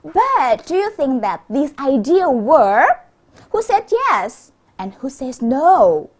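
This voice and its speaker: A woman telling a children's story in a theatrical, expressive way, addressing her listeners with questions. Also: high voice, takes it slowly. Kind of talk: interactive children's storytelling in English.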